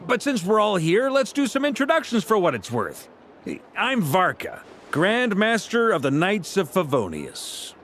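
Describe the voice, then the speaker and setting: A man's voice: sits high, wildly swooping pitch, unhurried, a bit bright, a little hiss or hum, heavily acted. A man playing a jovial, easygoing veteran knight commander with a folksy, teasing manner in a video game. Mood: amused. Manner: folksy, jocular. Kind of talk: scripted video game dialogue.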